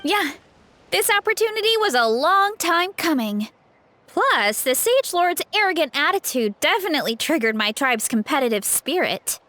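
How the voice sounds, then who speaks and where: High-voiced, earnest young warrior girl. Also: sing-song, normal speed, pushed and bright, exaggerated voice. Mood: helpful. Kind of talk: video game voice acting. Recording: game music behind the voice.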